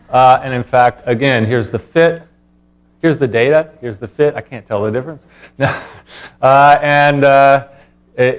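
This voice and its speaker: A man presenting research as a scientist at an academic talk, explaining informally in a halting, hesitant way. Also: medium pitch.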